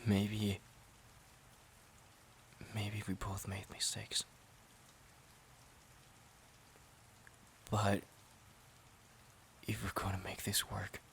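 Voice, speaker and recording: A man playing a worn-out, resentful boyfriend in an audio drama, pleading in a halting, strained way. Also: deep voice, gravelly, sharp tone, husky, full character voice. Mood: apologetic, soft. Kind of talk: dramatic audio roleplay monologue.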